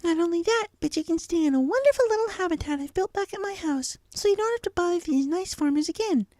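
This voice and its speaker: Storybook pony played by a man: timid young mare, extremely high, mellow, sweet and soft-spoken, close dry sound. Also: expressive, fast talker, no echo. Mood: kindly, eager. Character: shy gentle young female pegasus pony.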